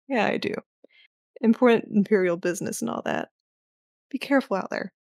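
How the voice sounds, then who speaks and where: A woman playing a cool, sardonic young operative and teasing sister in a sci-fi audio drama, with a deadpan delivery. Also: mid-range voice, moderate pace, gravelly, no echo, studio quality, lightly acted.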